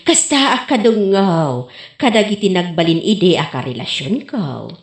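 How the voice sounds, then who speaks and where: A woman's voice: lowish voice, sing-song, measured pace. A woman with an Ilocano accent playing a doting, sugary-sweet sweetheart in a comedy radio drama. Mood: sweetly doting. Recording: roomy, echoing radio drama sound.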